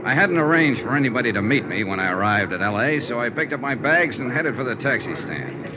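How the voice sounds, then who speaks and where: Man playing a lively, wry American radio detective. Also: medium pitch, a little fast, heavy background noise, lightly acted. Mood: brisk narrating. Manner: wry, crisp, teasing. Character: wry, confident male freelance insurance investigator, hard-boiled narrator. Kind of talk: 1950s radio detective drama. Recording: dull 1950s radio recording.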